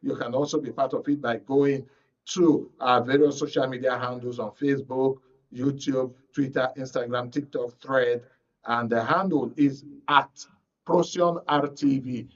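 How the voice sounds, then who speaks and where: A man's voice: mid-range voice, steady tone, a little slow, far from the mic, lo-fi sound. A man with a Nigerian accent hosting a live current affairs programme on radio.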